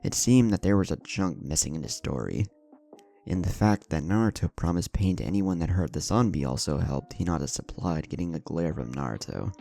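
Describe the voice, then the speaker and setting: A man's voice: low voice, expressive, fairly quick, creaky, mellow. A man reading a scripted story aloud as its narrator, including the characters' dialogue. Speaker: fan fiction narrator.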